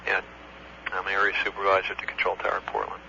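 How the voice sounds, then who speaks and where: Male air traffic controller, very forceful bright tone, halting factual delivery, lo-fi phone recording with faint hum. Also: low-pitched, completely flat delivery. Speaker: air traffic controller, tower area supervisor. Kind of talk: phone report of an incident, conversational eyewitness account.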